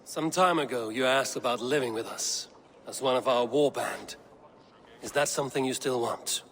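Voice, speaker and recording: An actor playing a calm, commanding Viking war leader in a video game, speaking in a measured, resolute way. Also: male voice, mid-range voice, a little hiss or hum, close to an everyday voice. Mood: warm, resolute. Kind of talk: dramatic video game acting. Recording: faint background ambience.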